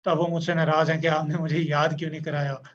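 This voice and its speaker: Chatty host with a flat voice. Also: male voice, fairly high pitch, fast. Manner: chatty, agreeable, joking asides. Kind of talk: casual Urdu-English interview. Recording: dull lo-fi sound with faint noise.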